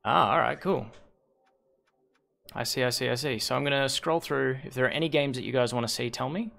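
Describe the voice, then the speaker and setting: A hoarse man hosting a league stream on a headset mic, rambling and correcting himself as he thinks aloud. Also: medium pitch, a little fast, a bit bright. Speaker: league host and shoutcaster. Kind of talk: casual livestream commentary.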